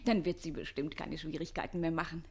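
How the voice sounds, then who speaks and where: An actress playing a crafty, coquettish young chambermaid in a radio play, with a demure and coy manner. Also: female voice, low voice, fairly even intonation, brisk, a little mellow, husky. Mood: coy, scheming. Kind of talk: classic German radio play acting.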